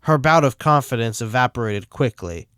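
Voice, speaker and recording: A man's voice: medium pitch, animated, normal speed, fairly bright tone, smooth, no room sound, studio quality. A man reading scripted story narration as the narrator. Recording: music behind the voice.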